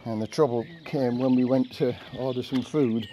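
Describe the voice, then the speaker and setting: An older man with an English accent chatting casually while washing his car, in a monotone voice and a rambling storytelling manner. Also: medium pitch, normal speed, lo-fi sound, a little hiss or hum. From England. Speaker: vlogger. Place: outdoor carport of a house. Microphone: camera mic from a few meters away. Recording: outdoor camera audio with birdsong. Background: birds and rural outdoor ambience.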